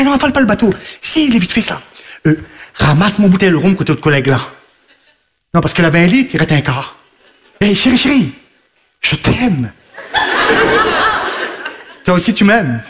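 A man's voice: sits high, very animated, moderate pace, pauses often. A man playing a boastful, party-loving husband who juggles his wife and mistress in a radio comedy sketch, talking in broken French, rambling and laughing. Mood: jolly, teasing. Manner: sing-song chanting and sudden shouting.

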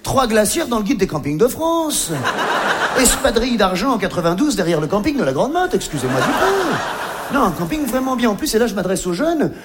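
A male stand-up comedian tells stories in a high-pitched voice. He is chatty and mock-earnest, cutting himself off often, and there is noticeable noise behind him. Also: big pitch swings, brisk. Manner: conversational, mock-earnest, with self-interruptions. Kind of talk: comic storytelling monologue. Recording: clear background noise.

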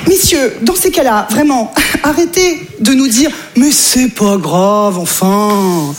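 Woman, stand-up comic, high voice, chatty with the crowd, echoey room. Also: animated, slow, a little hiss or hum. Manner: chatty, addresses the audience directly. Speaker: stand-up comedian. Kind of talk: stand-up comedy routine. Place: comedy stage before a live audience.

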